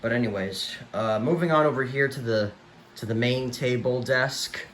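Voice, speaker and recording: A man giving a casual, unscripted show-and-tell, speaking haltingly and trailing off at the ends of his thoughts. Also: medium pitch, a bit bright, echoey room. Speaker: collector presenting a home video tour. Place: room at home among many radios. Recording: clear background noise and room ambience. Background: weather radio broadcast playing.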